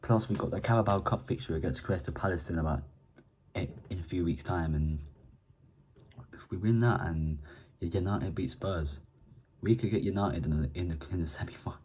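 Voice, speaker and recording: Young irish-born guy doing a footy preview, real low voice, keeps tripping over his words, faint clicks behind. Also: moderate pace, darkish tone, a little hiss or hum. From Ireland. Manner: rambling, repeats and restarts words. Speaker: football fan channel host. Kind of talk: casual match preview monologue.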